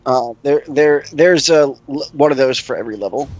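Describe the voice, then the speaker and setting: A man's voice: mid-range voice, a little fast, a little mellow, lo-fi sound. A man taking part in a casual tabletop roleplaying game, speaking hesitantly and often repeating his words. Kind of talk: casual group roleplaying chat.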